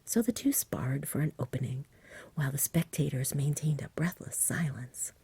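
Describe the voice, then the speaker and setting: A woman reading a bedtime story in a soft, whispery ASMR style, gentle and unhurried, close to the mic. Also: deep voice, dry recording. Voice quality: whispery, breathy. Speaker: storybook reader.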